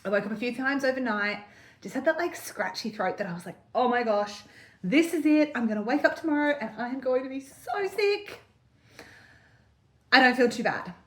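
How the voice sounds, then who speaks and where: A woman, chatting casually to camera in a lifestyle vlog, with lots of fillers and little laughs, and a slightly croaky voice from a cold. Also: sits high, expressive, normal speed, fairly bright tone. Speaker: vlogger.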